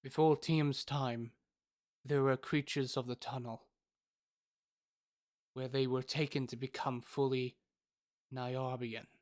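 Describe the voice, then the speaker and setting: A man acting as the formal, measured narrator of a fantasy audio drama, recounting events in the first person. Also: medium pitch, flat delivery, dry recording, studio quality, lightly acted. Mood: explanatory.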